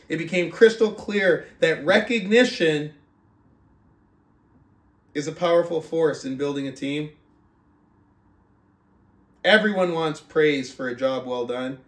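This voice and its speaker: A man who speaks as a motivational book club host, reading aloud and coaching in an emphatic, deliberate, rhetorical manner. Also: on the high side. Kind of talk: audiobook-style reading aloud and motivational talk. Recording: roomy, echoing sound.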